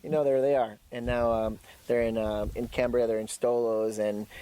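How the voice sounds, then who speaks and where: A man's voice: medium pitch, level tone, moderate pace, dark tone, clear, resonant tone, poor audio. Chatty man, laughing often. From Ecuador. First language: Spanish. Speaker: guest, dog trainer. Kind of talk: casual interview chat.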